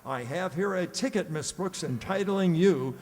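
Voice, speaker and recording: An actor playing a pompous, blustering middle-aged school principal with sputtering, emphatic delivery in an old-time radio comedy. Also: male voice, high-pitched, normal speed, darkish tone, lightly acted. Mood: formal, announcing. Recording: reverberant stage sound, music in background.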